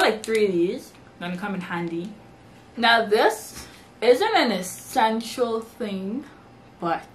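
A young woman talks to camera from her bedroom, chatty and animated, gesturing as she goes. Her voice sits at an ordinary middle pitch. A faint hiss of room noise runs underneath. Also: poor audio. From Bahamas. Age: young adult. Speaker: vlogger. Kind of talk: casual haul vlog. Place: bedroom, indoors.

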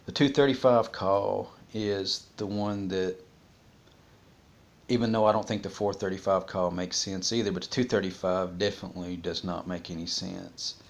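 Guy in his 40s rambling to his webcam at home, kinda low voice, stop and start, thinking out loud. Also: fairly even intonation, vocal fry. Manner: halting, thinking aloud. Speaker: vlogger discussing a criminal case. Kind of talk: casual, unscripted monologue. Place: small room at home, indoors.

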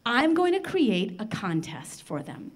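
A woman storyteller voicing an aging, fair-minded farmer father who deliberately instructs his children. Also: a little high, sing-song. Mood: announcing.

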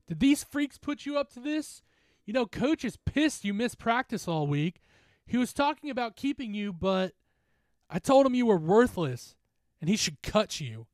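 A man's voice: high voice, expressive, moderate pace, no echo, voice pushed up. A man voicing a drunk, belligerent young male bully in a story, crude and aggressive. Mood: drunken taunting. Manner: taunting, aggressive, shouting.

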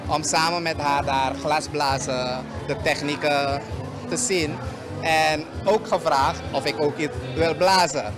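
A man's voice: a little high, steady tone, unhurried, music in the background. A middle-aged man artist in a casual interview at a gallery opening, hesitant and repeating words, speaking into a handheld mic. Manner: hesitant, many fillers and repeats. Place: busy gallery opening, indoors.

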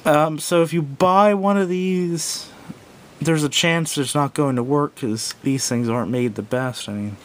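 A man giving a casual, unscripted product review in a halting, self-doubting way, recorded indoors at a tabletop on a camera microphone. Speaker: gadget reviewer. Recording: clear background noise with low rumble.

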